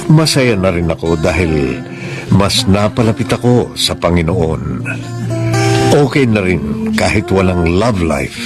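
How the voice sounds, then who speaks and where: A man with a Filipino accent playing a remorseful, gracious father in a radio drama, speaking earnestly and reflectively. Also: sits low. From Philippines. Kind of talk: radio drama acting and letter narration. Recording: loud music under the voice.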